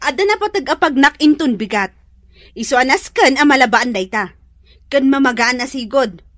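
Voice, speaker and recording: A spiteful, bossy young woman in an Ilocano radio drama. She speaks extremely high, in a haughty, sneering way with an Ilocano accent, recorded dry. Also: expressive, moderate pace, a bit bright, no room sound. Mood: haughty, demanding. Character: bossy, spiteful young woman ordering her in-law around.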